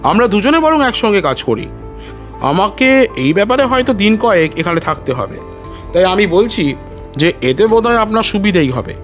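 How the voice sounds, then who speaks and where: A man acts out a gentleman detective in an audio drama, his voice fairly high. He is measured, polite and quietly firm, with music clearly playing behind him. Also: very animated. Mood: smooth, persuasive. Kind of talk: Bengali audio story acting.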